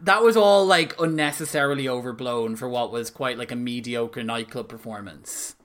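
Male co-host, bright forceful voice, chatty and emphatic. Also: medium pitch, normal speed. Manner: emphatic, frequent "like" fillers. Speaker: podcast co-host. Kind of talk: casual chat.

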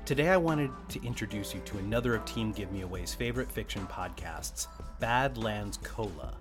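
A man's voice: fairly low pitch, expressive, normal speed, over music. A man reading a scripted promotional introduction as a podcast host.

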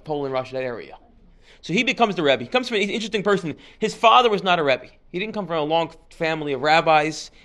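A man's voice: fairly high pitch, fairly bright tone. A man giving an informal history lecture, chatty and digressive, often restarting sentences and dropping joking asides.

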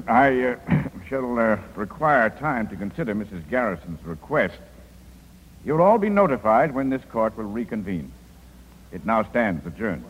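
An actor playing a formal, ponderous probate judge with an official, halting manner in a 1950s radio drama. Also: male voice, lowish voice, very animated, exaggerated voice. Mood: formal, flustered. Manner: halting, throat-clearing, official. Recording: muffled 1950s radio, echoing courtroom reverb.

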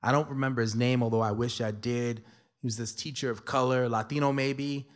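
A man's voice: mid-range voice, steady tone, fairly quick. A middle-aged man from New York telling a personal story to an audience, conversational and emphatic, with playful asides. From New York City.

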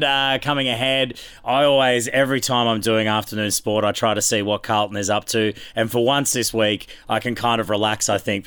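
A man's voice: on the low side, fairly bright tone, clean tone, no room sound. A man from North Queensland with an Australian accent, hosting a casual, conversational sports show.

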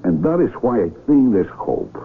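A man acting in a 1970s radio drama as a callously confident village doctor, deliberate, clinical and emphatic. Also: medium pitch, sing-song, a little hiss or hum. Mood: self-assured. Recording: muffled vintage AM radio recording.

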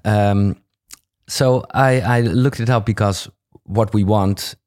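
A man's voice: lowish voice, steady tone, slow pace, a little mellow. A Dutch-accented man, a podcast host whose first language is Dutch, asking questions in a casual, hesitant way with many fillers and restarts. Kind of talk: casual interview.

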